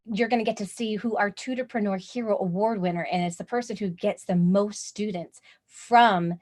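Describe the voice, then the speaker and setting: A woman's voice: mid-range voice, steady tone, moderate pace, a little mellow. A woman hosting an online tutoring masterclass, bubbly and gushing, with lots of "like" fillers. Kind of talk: enthusiastic webinar hosting.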